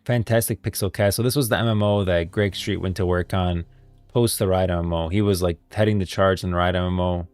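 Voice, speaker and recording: A man with a low, creaky voice talks casually as a livestream host. His delivery is informal and halting, often repeating phrases as he thinks aloud. The sound is close and dry, with faint music underneath. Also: fairly even intonation, a little mellow, dry recording. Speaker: streamer, host of a gaming lore stream. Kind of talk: casual livestream chat, reading posts aloud.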